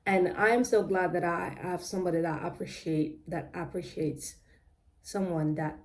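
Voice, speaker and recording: A woman's voice: on the low side, fairly even intonation, normal speed. A young woman from Nigeria with a British-tinged Nigerian accent, rambling casually with lots of ums and self-corrections. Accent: Nigerian with British-influenced English. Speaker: crochet vlogger. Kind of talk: casual chatty vlog.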